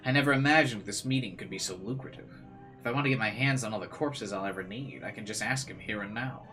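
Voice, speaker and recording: A man's voice: fairly low pitch, fast talker, pushed and bright. A man playing through a visual novel, reading the text aloud and adding casual commentary. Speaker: let's player. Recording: faint game music behind.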